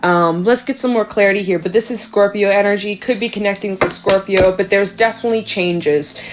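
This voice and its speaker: A woman's voice: mid-range voice, fairly quick, background noise. A young woman with a stuffed-up nose, reading tarot cards casually to her viewers, chatty and rambling with lots of fillers. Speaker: tarot reader.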